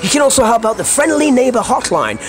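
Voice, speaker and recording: A Scottish man with a notably high voice delivers a comic rant straight to his listeners. He is theatrical and foul-mouthed, leaning on colourful slang. Music plays clearly beneath him. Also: big pitch swings, fast talker. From Scotland. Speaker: podcast host. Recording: music under the voice.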